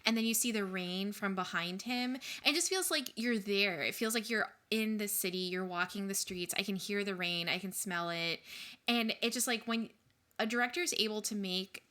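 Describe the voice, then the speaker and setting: A woman's voice: mid-range voice, moderate pace, sharp tone. A woman co-hosting a podcast in casual chat, describing film scenes with enthusiasm and many fillers. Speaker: podcast co-host.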